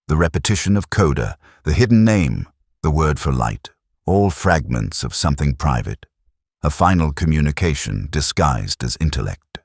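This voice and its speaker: A man narrating a first-person detective story in a measured, solemn, literary manner, with a gravelly, husky voice. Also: very deep voice, dry recording, studio quality.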